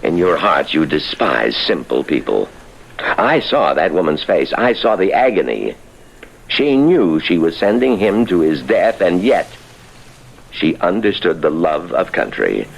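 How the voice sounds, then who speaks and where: An actor playing a stern, troubled government minister, formal and earnest, in old radio drama style. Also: male voice, low voice, sing-song, moderate pace, gravelly, slight background noise, exaggerated voice, low-quality recording. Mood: earnest, reproachful.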